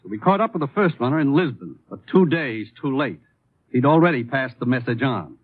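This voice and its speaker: Grave commissioner, thin old-radio sound. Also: male voice, medium pitch, expressive, normal speed, husky, no room sound, phone-quality sound. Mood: grave, urgent. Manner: grave, authoritative. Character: earnest, businesslike male government commissioner, the agent's boss. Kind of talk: 1950s radio acting.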